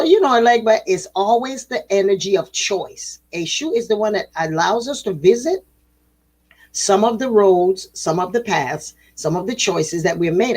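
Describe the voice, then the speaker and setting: A woman hosting a spiritual program, telling a traditional story in a casual, animated way with quick laughs and self-corrections. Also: mid-range voice, husky.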